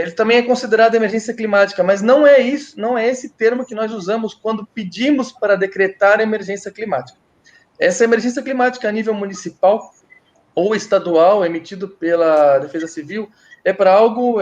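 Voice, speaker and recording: A man's voice: sits high, brisk, darkish tone, highs cut off. A Brazilian man giving an online presentation over a video call, explaining in a hesitant way and often stopping to restart his sentences. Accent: Brazilian Portuguese. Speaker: guest speaker.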